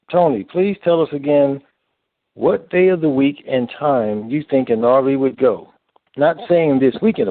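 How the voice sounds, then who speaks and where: A man co-hosting a casual call, reading out listener questions and speaking haltingly with pauses between phrases. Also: medium pitch, dry recording. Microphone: telephone. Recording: narrow, lo-fi phone line.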